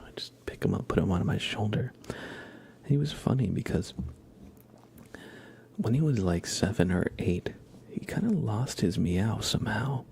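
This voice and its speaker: A man hosting an ASMR livestream, chatting casually in a soft, hushed, gentle manner. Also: fairly low pitch, gravelly, husky. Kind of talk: whispered ASMR, casual rambling chat.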